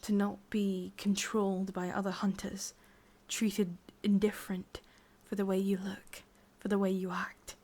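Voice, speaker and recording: Woman playing a husky whispering English witch. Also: medium pitch, little pitch movement, measured pace, few pauses. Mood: soothing, coaxing. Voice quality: soft breathy whisper, husky. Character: ancient lovelorn witch, wistful and possessive. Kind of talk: whispered ASMR roleplay.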